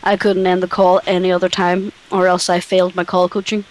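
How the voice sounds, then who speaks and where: A woman's voice: on the low side, completely flat delivery, a little fast. A woman chatting casually about her former call center job, blunt and swearing freely. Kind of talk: casual podcast chat.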